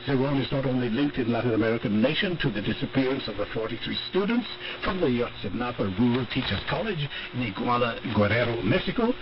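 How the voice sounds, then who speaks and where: A man reading a scripted international news bulletin in a formal, measured way, heard over shortwave radio. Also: mid-range voice, highs cut off. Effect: shortwave radio reception. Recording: noisy, band-limited shortwave radio broadcast. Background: radio static and noise.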